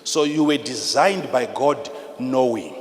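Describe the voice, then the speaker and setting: A man with a Zimbabwean accent preaching a sermon in an emphatic, repetitive, teacherly manner, swinging between hushed and loud delivery. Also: mid-range voice, expressive, a little slow. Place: large church hall with PA. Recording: live in a large reverberant hall, noisy.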